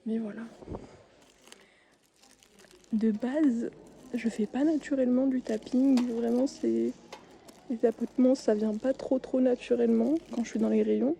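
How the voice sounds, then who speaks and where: A young woman talks in a hushed, soft-spoken way and often drops into a whisper. She pauses often as she tidies shelves in a supermarket aisle. Her voice is very dark and mellow, sits a little high, and stays fairly flat, with a faint store hum behind her. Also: gravelly. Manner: soft-spoken, drifting into whispers, many hesitations. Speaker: ASMR creator. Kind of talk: soft-spoken ASMR while tidying shelves. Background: faint store ambience.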